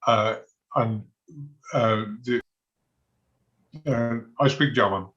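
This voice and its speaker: Older Scottish male, ex-soldier historian, halting conversational delivery, average pitch, muffled lo-fi sound. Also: a bit bright. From Scotland. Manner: halting, conversational, chuckles often. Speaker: military historian and former soldier. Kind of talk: informal talk on military history. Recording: dull, lo-fi audio.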